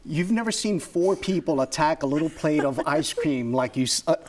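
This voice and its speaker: A man's voice: a little high, lively intonation, moderate pace, a crowd in the background. A man talking as a guest on a review show, effusive and full of superlatives. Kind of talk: casual chat.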